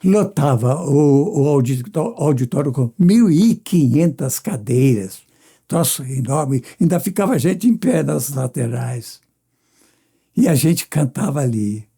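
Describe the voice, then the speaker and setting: A man's voice: on the high side, lively intonation, a little slow, gravelly, dark tone, breathy edge. An older man with a Minas Gerais Brazilian accent, reminiscing and acting out his stories as a veteran radio performer. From rural Minas Gerais, Brazil. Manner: acts out dialogue and sounds. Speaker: veteran radio singer and actor. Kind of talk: animated reminiscing, storytelling.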